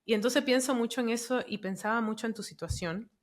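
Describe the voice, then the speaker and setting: A woman who grew up in the Dominican Republic, hosting a podcast and speaking reflectively in casual conversation with soft murmured backchannels. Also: on the high side, a little fast. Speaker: podcast host.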